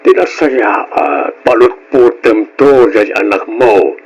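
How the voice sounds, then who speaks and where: A man voicing an elderly, suspicious grandfather with a husky voice and an Ilocano accent in a radio drama. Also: lowish voice, lively intonation, slow, a little mellow, muffled recording, exaggerated voice. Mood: reporting, suspicious. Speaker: drama voice actor.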